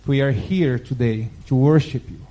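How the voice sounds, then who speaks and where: A man with a Brazilian Portuguese accent, Portuguese his first language, reading scripture aloud and preaching with slow, careful, halting phrasing. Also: mid-range voice, dark tone. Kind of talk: Bible reading and sermon.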